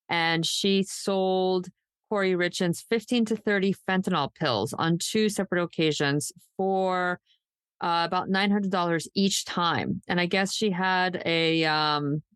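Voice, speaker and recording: A woman's voice: lowish voice, fairly bright tone, clear, resonant tone, no room sound, studio quality. A woman hosting a true crime podcast in a casual, loosely unscripted, conversational way. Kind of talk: casual co-hosted podcast chat.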